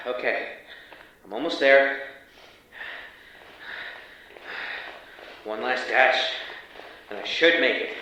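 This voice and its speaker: A man's voice: medium pitch, unhurried, sharp tone, slight background noise. An actor playing a wary, irritable male field agent in an audio drama, sighing and hesitant as he reports back. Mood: weary, concentrating. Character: wary, exasperated male field agent exploring an anomalous hallway. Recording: distant, muffled, echoey hallway.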